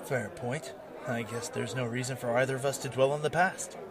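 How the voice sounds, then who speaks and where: A man's voice: medium pitch, fairly even intonation, brisk, crowd noise, lightly acted. A man playing a jaded, self-doubting male werewolf knight turned drifter in a fantasy audio drama, with a weary, brooding manner. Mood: brooding, reflective.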